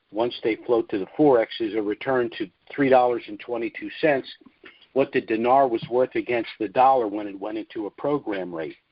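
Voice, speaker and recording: Measured man on a dull conference-call line. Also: medium pitch, no room sound. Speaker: conference call host and speaker. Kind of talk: informal financial update monologue.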